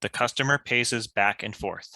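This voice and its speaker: Male narrator for a script read: lowish, bright, forceful tone, very dry recording, stage directions. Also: normal speed, no room sound.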